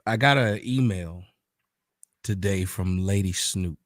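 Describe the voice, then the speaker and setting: Halting man guest, dry sound. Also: deep voice, no room sound. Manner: halting, with many pauses and fillers. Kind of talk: casual livestream talk.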